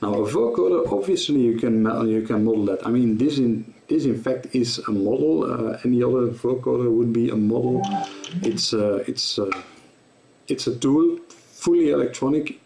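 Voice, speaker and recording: A man giving an informal tutorial explanation as a presenter, in a halting, self-correcting way. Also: mid-range voice, gravelly, dark tone, a little hiss or hum. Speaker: presenter of guitar gear tutorial.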